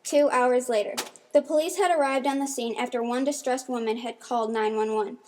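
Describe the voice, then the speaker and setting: A woman's voice: fairly high pitch, fairly even intonation, a little fast, darkish tone, a little hiss or hum. A woman reading scripted narration for an amateur radio mystery.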